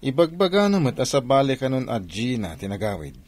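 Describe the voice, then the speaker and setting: A man's voice: medium pitch, sing-song, brisk, darkish tone. A man playing an earnest, protective elder brother in a Philippine radio drama. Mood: matter-of-fact.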